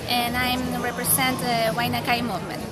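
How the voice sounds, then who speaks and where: Young woman doctor, hesitant, higher voice, loud music. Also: flat delivery, normal speed, a loud crowd. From Chile. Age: young adult. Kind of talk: conference interview.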